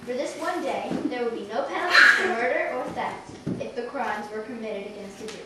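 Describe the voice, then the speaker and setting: A woman's voice: a little high, lively intonation, a little fast. A woman reading scripted story narration for an amateur puppet show. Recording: echoey room, distant mic, clear noise.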